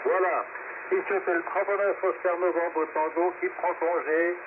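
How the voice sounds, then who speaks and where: A man's voice: sits high, fairly even intonation, moderate pace. Man chatting over noisy narrowband radio. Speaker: amateur radio operator. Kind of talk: casual radio contact chat. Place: radio transmission with static behind.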